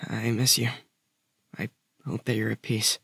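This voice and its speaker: A man voicing a soft-spoken, wistful teenage boy in a mystery game fan dub. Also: lowish voice, level tone, unhurried, vocal fry, breathy edge, no room sound, studio quality, full character voice. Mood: subdued, wistful. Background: guitar music.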